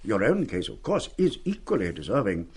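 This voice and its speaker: Suave, lilting headmaster. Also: male voice, on the low side, huge pitch swings, normal speed, darkish tone, husky, heavily acted. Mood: apologetic, evasive. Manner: urbane, wordy, patronising. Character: suave, condescending male public school headmaster, falsely genial. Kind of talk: radio play acting.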